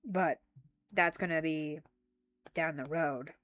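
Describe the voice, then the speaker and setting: A young woman chats her way through a live stream, rambling, restarting her sentences and giggling often. Her voice sits a little low and sounds slightly congested. The sound is dry and close, with no room echo. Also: takes it slowly, fairly bright tone. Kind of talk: casual live stream chat.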